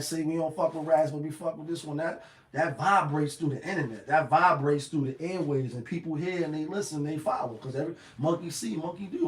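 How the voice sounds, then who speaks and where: A man with a slightly raspy voice giving a casual, rambling motivational talk full of slang and "you know what I mean.". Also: a little high, reverberant, poor audio. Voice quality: a little raspy. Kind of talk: casual motivational monologue to camera.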